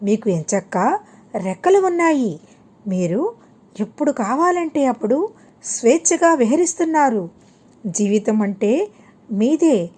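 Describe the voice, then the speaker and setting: A friendly storybook donkey, voiced by a woman, wistful and a little envious of others. The voice is fairly high and mellow, and it speaks very slowly. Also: sing-song, husky, exaggerated voice. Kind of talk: children's storybook reading.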